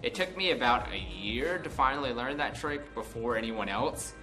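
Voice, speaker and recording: A man's voice: medium pitch, expressive, moderate pace, sharp tone, faint music behind, low-quality recording. An American man in his twenties telling a casual, unscripted story in a rambling, conversational way. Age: 20s. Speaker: vlog host.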